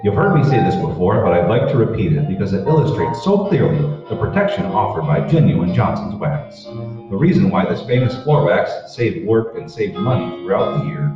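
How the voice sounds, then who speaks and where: A man's voice: lowish voice, very animated, moderate pace, music in the background. A man announcing a scripted old-time 1940s radio show and its sponsor message. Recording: muffled, echoey hall, far from mic.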